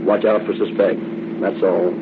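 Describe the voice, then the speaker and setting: A man's voice: sits low, little pitch movement, moderate pace. A man reading a police radio bulletin as a dispatcher, over a radio effect. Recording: very noisy, tinny police radio.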